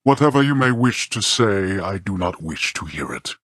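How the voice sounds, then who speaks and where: Immensely deep, imperious underworld god. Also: male voice, very animated, moderate pace, fairly bright tone, dry recording, clean studio sound, exaggerated voice. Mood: irritated contempt. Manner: haughty, scornful, archaic formal diction. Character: stern, contemptuous god of the dead, imperious father. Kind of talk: video game character voice acting.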